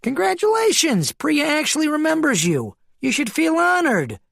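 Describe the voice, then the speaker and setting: Narrator putting on a smug schemer guy, high voice, teasing, knowing, audio story. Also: very animated, normal speed, creaky, fairly bright tone, no room sound, clean studio sound, full character voice.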